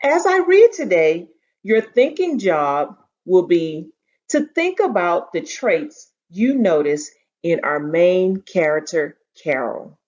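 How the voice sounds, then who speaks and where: A woman teacher reading a story aloud in an expressive, emphatic storytelling style, pausing to share her thoughts. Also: on the low side. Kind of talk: expressive story read-aloud with think-aloud commentary. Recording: dull, lo-fi audio.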